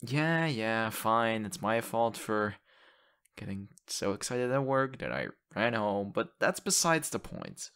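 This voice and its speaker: A man playing an excitable, affectionate young boyfriend in an intimate ASMR audio roleplay, talking haltingly and teasing playfully. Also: lowish voice, heavily acted. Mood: fond, curious. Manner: halting, repeats words, breathy laughs and sighs.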